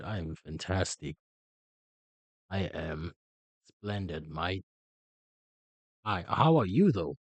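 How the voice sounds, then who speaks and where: A streamer from South Africa chats with his viewers in a relaxed, drawn-out way, speaking very slowly. His fairly low voice swings widely in pitch, and the sound is dry and close. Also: slightly rough, dry recording, lo-fi sound. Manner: drawn-out, relaxed, greeting chat. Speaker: streamer and host. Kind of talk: casual live-stream chat.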